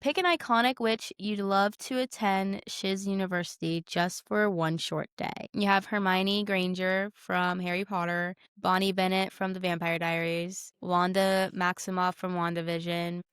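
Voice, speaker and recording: A woman's voice: on the low side, fairly even intonation, moderate pace, hardly any pauses, fairly bright tone, clean tone, no echo, clean studio sound. A woman co-hosting a podcast in casual, conversational chat with a friend. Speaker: podcast co-host.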